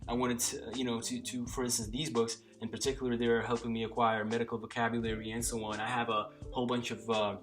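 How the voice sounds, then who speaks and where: A man's voice: medium pitch, level tone, fast talker, hardly any pauses, faint music behind. A man whose first language is Slovak, talking casually to camera by his bookshelf, rambling with many fillers and restarts. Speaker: polyglot vlogger. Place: small room by a bookshelf lit by a lamp.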